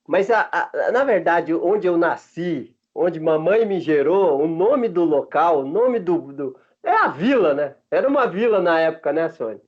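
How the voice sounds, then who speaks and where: A man in his fifties from Minas Gerais with a Minas Gerais Brazilian accent, telling stories as a former footballer in a relaxed interview, chatty and often laughing between halting restarts. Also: a little high. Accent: Minas Gerais Brazilian Portuguese. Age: 50s. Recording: dull lo-fi sound.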